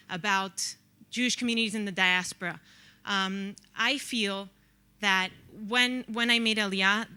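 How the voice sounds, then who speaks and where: Bright-voiced woman. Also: medium pitch. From Peru and Costa Rica. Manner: hesitant, many ums and restarts. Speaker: audience member asking a question. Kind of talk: question from the floor. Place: conference hall.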